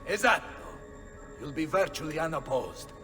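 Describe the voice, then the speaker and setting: Hearty Italian mercenary captain, animated delivery. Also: male voice, fairly high pitch, moderate pace. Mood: confident, scheming. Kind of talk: video game cutscene acting. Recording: long hall reverb under loud music.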